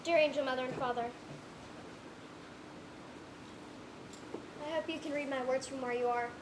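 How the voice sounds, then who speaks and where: A woman's voice: high-pitched, steady tone, racing, natural voice. A young woman playing a stubborn, grieving little foreign orphan girl on stage, earnest and defiant, with formal phrasing. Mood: pleading. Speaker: student actor in a one-act play. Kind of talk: projected stage acting. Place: indoor stage, recorded live. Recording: echoey stage, faint background noise.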